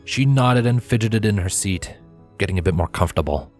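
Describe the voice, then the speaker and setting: Male story narrator, low pitch, scripted fiction reading, background music. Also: brisk, a little mellow. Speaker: narrator, fan fiction reader.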